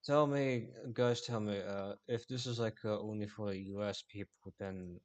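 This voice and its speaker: A man from Finland livestreaming casually, rambling with halting restarts. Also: fairly low pitch, fairly even intonation.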